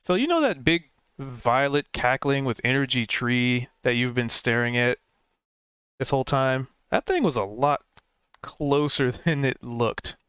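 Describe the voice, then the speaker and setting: A man's voice: medium pitch, lively intonation, unhurried, a bit bright, clean tone, dry recording, studio quality. A man narrating as game master in a rambling, descriptive way, full of fillers.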